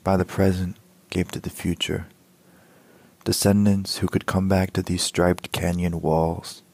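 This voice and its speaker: A man reciting a poem in a measured, solemn manner. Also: low-pitched, little pitch movement, vocal fry, darkish tone, no echo. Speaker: poet. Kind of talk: poetry recitation.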